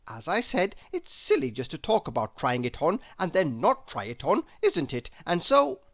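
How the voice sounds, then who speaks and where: Silly, babbling storybook gentleman, woman performing; excitable, rambling, hugely animated pitch. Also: normal speed, dry recording, heavily acted. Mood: flustered, eager. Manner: rambling, repetitive chatter piling clause upon clause. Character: excitable, silly, endlessly babbling cartoon man. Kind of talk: children's storybook character voice.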